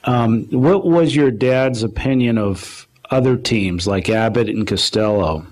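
A man's voice: medium pitch, measured pace, darkish tone. A man asking questions as an interviewer in a question-and-answer conversation.

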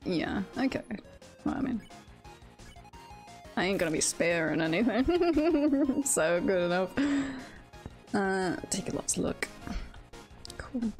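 A woman's voice: medium pitch, big pitch swings, slow, long pauses, faint music behind. A woman streamer giving casual live game commentary, thinking aloud and chattily repeating phrases to herself. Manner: chatty, self-talking, often repeats phrases.

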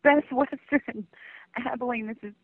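Female hotel receptionist, high register, heard over a narrow phone line. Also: steady tone, measured pace, no echo. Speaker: hotel front desk clerk. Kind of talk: customer service phone call. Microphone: telephone. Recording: dull lo-fi telephone line.

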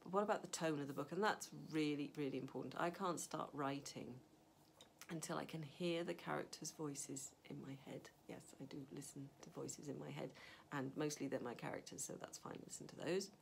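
A middle-aged woman with a low voice and a gravelly edge shares writing advice from her home study. She talks casually to the camera, thinking aloud with fillers and restarts. A faint hum sits under the recording. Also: steady tone, fairly quick. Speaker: novelist. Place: home study with a map on the wall.

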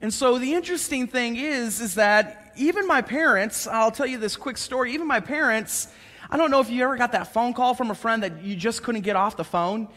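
Bright-voiced preacher in his thirties, higher-pitched man, lively storytelling sermon, long reverb of a church hall. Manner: conversational and animated, gesturing while pacing the stage. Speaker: pastor. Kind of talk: sermon with personal stories. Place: church stage, large indoor room.

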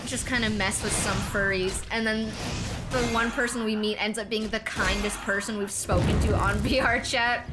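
High-pitched young woman streamer, rambling. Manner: rambling, self-deprecating storytelling with frequent laughs and swearing. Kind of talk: casual gaming stream chat.

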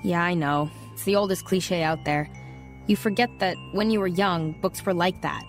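A woman's voice: on the low side, moderate pace, faint music behind, close to an everyday voice. A woman playing a wry wayward teen girl. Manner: hesitant, apologetic. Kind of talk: audio drama narration and acting.

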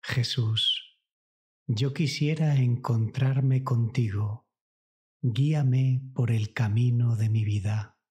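A man reading a scripted devotional meditation in a solemn, measured, reverent way. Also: medium pitch, steady tone, darkish tone. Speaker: narrator of a guided prayer meditation. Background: music.

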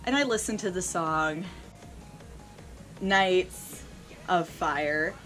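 A young woman vlog host telling a personal story casually and unscripted, with theatrical emphasis and dramatic pauses. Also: lowish voice, a bit bright, faint music behind. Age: young adult. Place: small indoor room lined with shelves.

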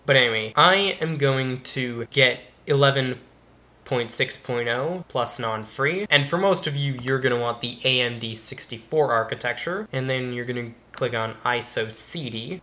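Bright-voiced young man explaining a tech setup. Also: medium pitch. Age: young adult. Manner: casual, explanatory, step-by-step. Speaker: tech YouTuber. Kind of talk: screen-recorded tutorial walkthrough. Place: small room indoors.

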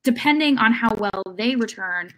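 A young woman on a video call, giving measured, halting sports analysis as a panelist in a casual discussion. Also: on the high side, lively intonation, sharp tone, poor audio. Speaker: soccer podcast panelist. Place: indoor room at home, video call. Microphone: video call microphone.

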